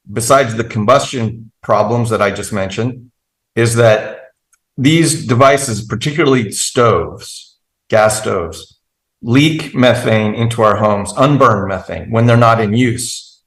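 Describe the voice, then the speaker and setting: Man, guest expert, lowish voice, roomy echo, methodical and earnest. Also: fairly bright tone. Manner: earnest, methodical, frequent restarts and fillers. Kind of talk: explanatory interview answer.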